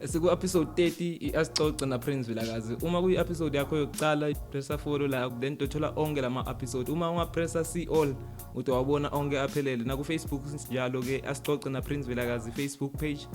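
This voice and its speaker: A man's voice: fairly high pitch, fairly even intonation, fast talker, a little mellow, soft background music. A man with a South African accent hosting a casual interview and switching between languages as he talks. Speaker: podcast interview host.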